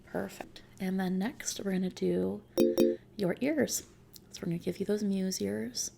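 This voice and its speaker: Very creaky, soft-spoken woman playing a friendly futuristic technician. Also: mid-range voice, steady tone, over loud music. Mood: gentle, reassuring. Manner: gentle, reassuring, casual, unhurried. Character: friendly reassuring female technician at futuristic body-customization service. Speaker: ASMR roleplay performer. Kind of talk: soft-spoken ASMR customer-service roleplay.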